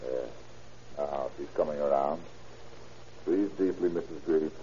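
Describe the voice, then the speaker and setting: A calm hospital doctor in a vintage radio drama. His voice is deep and mellow, measured and soothing with quiet authority. The old recording sounds muffled. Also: slight background noise. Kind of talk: 1930s radio acting.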